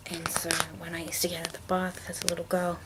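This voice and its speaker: A woman whispering in a breathy, hushed, unhurried way with halting pauses, presenting an ASMR show-and-tell. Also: lowish voice, monotone, very noisy. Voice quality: breathy whisper with creaky edges. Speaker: ASMR video host.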